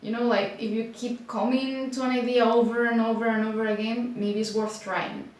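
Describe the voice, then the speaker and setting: A young woman from Spain speaking English with a Spanish accent, chatting casually to camera in an unhurried way, full of fillers like "like". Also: fairly high pitch, little pitch movement, darkish tone. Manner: unhurried, many filler likes, slightly unsure. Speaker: booktuber. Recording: very echoey room.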